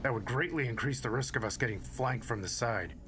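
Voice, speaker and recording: A man's voice: medium pitch, a little fast, fairly bright tone, lightly acted. Man playing an earnest soldier, faint noise behind. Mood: earnest, reasoning. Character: earnest, tactically minded male soldier, respectful and cautious. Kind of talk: scripted audio drama acting.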